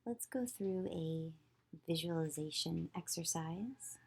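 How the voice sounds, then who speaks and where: A woman yoga teacher leading a guided visualization meditation, giving slow, soothing instructions with long pauses. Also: fairly low pitch, vocal fry, breathy edge.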